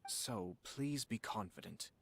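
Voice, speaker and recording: A man's voice: mid-range voice, expressive, slow pace, gravelly, a bit bright, slight background noise, heavily acted. An actor playing a poised, gracious young male clan commissioner in a video game, speaking formally and courteously.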